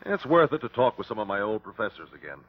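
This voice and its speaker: An actor playing a wry, musing detective in an old-time radio drama, measured and portentous. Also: male voice, fairly low pitch, sing-song. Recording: muffled old radio recording.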